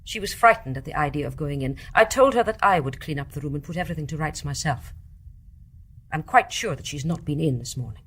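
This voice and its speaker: An actress playing a formal, insistent, dutiful British private nurse in a radio drama. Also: female voice, low voice, expressive, a little fast, a bit bright, husky, heavily acted. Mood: solemn, firm. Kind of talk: British stage-play radio acting.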